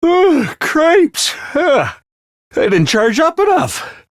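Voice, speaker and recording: A video game's theatrical, scheming drill-master who yells wake-up orders in a high, bright, piercing voice. The voice leaps all over the place, then sinks into quiet menace. The recording is dry and close. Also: male voice, wildly swooping pitch, extremely slow, dry recording, exaggerated voice. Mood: shouting cheerfully. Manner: booming, swings between shouted cheer and low menace. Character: manic theatrical male drill-sergeant schoolmaster, scheming. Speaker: voice actor. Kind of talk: video game voice lines.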